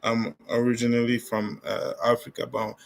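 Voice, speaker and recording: A young man answers viewer questions in a loose, conversational way, often stopping to restart his sentences. His voice sits at an ordinary middle pitch. He sounds casual and unscripted, like a vlogger talking straight to his camera. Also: flat delivery, moderate pace, gravelly, low-quality recording. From Canada. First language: French.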